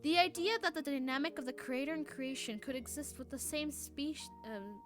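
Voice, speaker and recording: A woman livestreaming a game with casual commentary, muttering asides and haltingly reading text aloud, correcting herself as she goes. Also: high voice. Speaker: live game streamer. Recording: music playing behind the voice. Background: game music.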